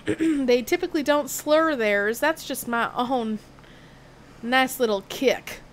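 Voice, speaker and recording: A young woman chatting through a game stream in a put-on Southern drawl, stretching her words out slowly. Her voice sits high, and her tone is playful and loose. A faint hum of room noise runs behind her. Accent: put-on Southern American drawl. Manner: playful, drawn-out words, swears casually. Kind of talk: casual gameplay livestream chat.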